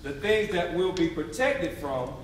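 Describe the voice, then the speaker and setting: A man's voice: on the high side, lively intonation, unhurried, a crowd in the background. A man delivering an emphatic, preacher-like readers theatre oration on stage. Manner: emphatic, declamatory, preacher-like call and response. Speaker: stage narrator. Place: theatre stage before a responding audience. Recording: very echoey live hall, distant mic.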